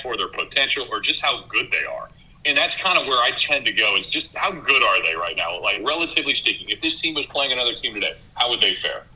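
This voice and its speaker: A man's voice: medium pitch, pushed and bright, poor audio. A man who works as a basketball broadcaster, giving casual sports analysis and thinking aloud as a guest.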